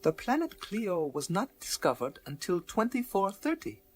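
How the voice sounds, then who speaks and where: An actor playing a humble, wily shopkeeper and informant in a radio drama, with stilted, overpolite, self-deprecating broken English. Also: male voice, sits high, very animated, moderate pace, slight background noise. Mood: humble, cryptic. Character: humble, wily male antique-shop proprietor and informant. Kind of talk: radio drama character dialogue.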